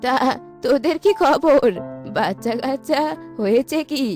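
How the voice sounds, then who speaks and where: A woman voicing a kindly old village aunt in a cartoon, with slow, drawn-out, sympathetic speech. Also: sits high. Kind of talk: cartoon voice acting. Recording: music behind the voice.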